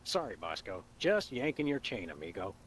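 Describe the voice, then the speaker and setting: An actor playing a calm, deadpan dog detective in a video game, talking in a dry, wry way. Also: male voice, fairly low pitch, normal speed, breathy edge.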